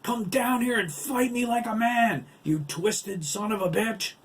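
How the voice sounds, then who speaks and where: A man voicing a furious, desperate man shouting defiance in a storybook narration. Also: very high voice, a little slow, recorded from a distance, pitched above the performer's own voice. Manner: strained, shouted.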